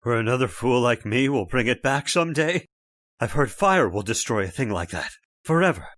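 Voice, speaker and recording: A man's voice: mid-range voice, animated, normal speed, a bit bright, no room sound, studio quality, natural voice. Man acting a melodramatic, swooping, desperate father. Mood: grimly determined. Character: desperate, anguished father, grimly defiant. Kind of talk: old-time radio horror acting.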